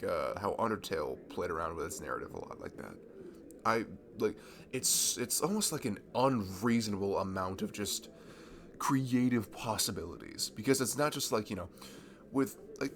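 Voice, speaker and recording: A man playing a friendly, nerdy young guy in a casual ASMR roleplay, chatting to a childhood best friend in a halting, self-deprecating ramble. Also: sits low, a little hiss or hum. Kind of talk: improvised-sounding ASMR roleplay, casual one-sided conversation.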